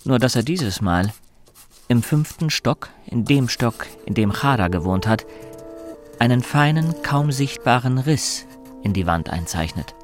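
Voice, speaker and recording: A man narrating a radio drama as a composed, observant storyteller, in a measured delivery. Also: medium pitch, expressive, close to an everyday voice. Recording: music under the narration.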